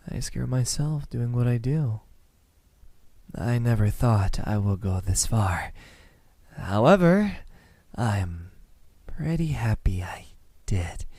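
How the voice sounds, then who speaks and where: A young man playing a menacing student kidnapper in an ASMR roleplay, calm and smug, speaking softly and deliberately into a close microphone. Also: on the low side, no room sound. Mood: soft, unmasked relief. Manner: soft, deliberate, breathy sighs, dropping into whispers.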